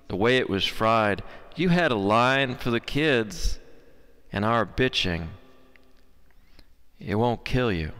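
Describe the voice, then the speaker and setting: An American man reading poetry with deliberate, dramatic phrasing and weighty pauses. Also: medium pitch, a bit bright, clean tone. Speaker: poet. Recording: long echoing hall reverb.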